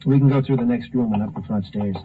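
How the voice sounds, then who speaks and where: A man's voice: medium pitch, fast talker, noisy background, lightly acted. An actor playing a deferential, businesslike local lawman in a 1950s radio drama. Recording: tinny old 1950s radio recording.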